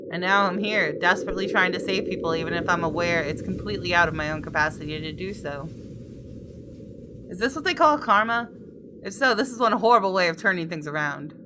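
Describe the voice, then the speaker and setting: Female gaming host with a lowish voice, reading story text dramatically, clear background noise. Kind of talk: expressive read-aloud of a visual novel with asides.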